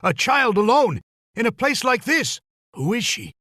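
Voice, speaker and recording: A man's voice: very high voice, sing-song, a little slow, pushed and bright, exaggerated voice. A man playing a startled but pitying English soldier in a children's storybook, speaking dramatically.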